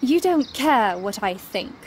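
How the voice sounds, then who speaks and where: A woman's voice: a little high, sing-song, takes it slowly, a little mellow, a little hiss or hum. An actress playing a guarded, defiant Victorian woman suspected of murder in a video game. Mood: cool defiance. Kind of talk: video game cutscene acting.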